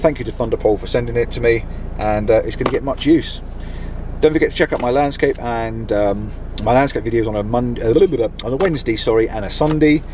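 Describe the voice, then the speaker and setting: Middle-aged British male vlogger, enthusiastic and informal, medium pitch, casual review delivery recorded inside a campervan with light background noise. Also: brisk. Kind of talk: casual product review to camera. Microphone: camera on a mount in the van.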